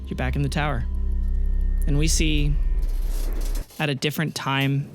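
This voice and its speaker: A man narrating scenes as game master, speaking in a slow, measured way with many pauses. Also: a little high, level tone. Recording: loud music bed.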